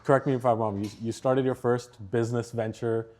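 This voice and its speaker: A man's voice: medium pitch, little pitch movement, a little fast, mellow. A man hosting a university event, introducing the guest on stage with some hesitant fillers before his first question. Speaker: event host.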